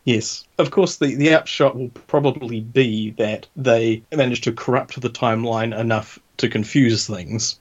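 A man chats casually and brainstorms, keeping a wry, joking edge. His voice sits at an ordinary middle pitch. The podcast audio is dull and lo-fi. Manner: wry, quick to joke at ideas. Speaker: podcast co-host.

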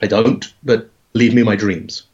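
Croaky man spinning a long idea. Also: lowish voice, fairly even intonation, unhurried, darkish tone. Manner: rambling, builds ideas with long setups. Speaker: podcast co-host. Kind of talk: casual chat pitching a game idea. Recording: dull lo-fi podcast audio.

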